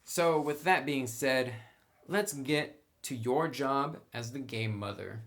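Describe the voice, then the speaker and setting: A man's voice: mid-range voice, slow pace, a bit bright. A man talks through a tabletop game review in a loose, unscripted style. He is conversational and freely gives his opinions, sounding like someone explaining rules to fellow players. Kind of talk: casual unscripted review commentary.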